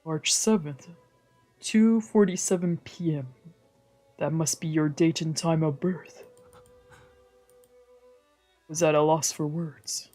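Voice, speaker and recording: Streamer reading visual novel narration out loud, mid voice, little whispered asides. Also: mid-range voice, steady tone, slow pace, long pauses, soft background music. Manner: reads narration in first person, translating lines, with whispered asides. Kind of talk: reading game narration aloud.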